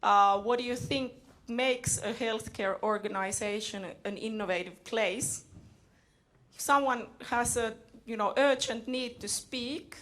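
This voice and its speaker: A woman's voice: fairly high pitch, fairly bright tone. A woman hosting a conference session, lightly teasing the audience. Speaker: event host. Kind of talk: stage announcement, audience prompting.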